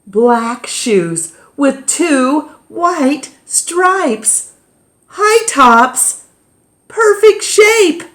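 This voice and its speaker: A woman reading a children's picture book aloud as storytime reader, with dramatic pauses and emphasis. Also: high-pitched, very animated, a bit bright.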